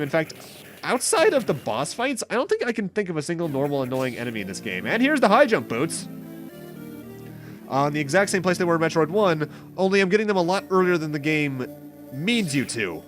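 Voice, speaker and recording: A man's voice: a little high, fairly bright tone. A man giving casual, rambling let's play commentary, explaining things and correcting himself as he goes. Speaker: host of a video game playthrough series. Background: game music.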